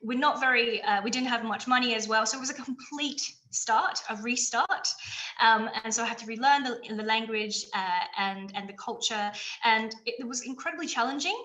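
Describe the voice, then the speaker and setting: A woman gives an enthusiastic chemistry lecture, stopping often for ums and restarts. Her voice is bright and sits a bit high. The room around her sounds echoey. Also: normal speed. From China, raised in Australia. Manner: enthusiastic, many fillers and restarts. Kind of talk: webinar science talk.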